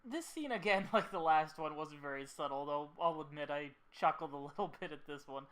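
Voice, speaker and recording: A man giving casual, slightly halting commentary as host of a video about an old film. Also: fairly high pitch, a bit bright.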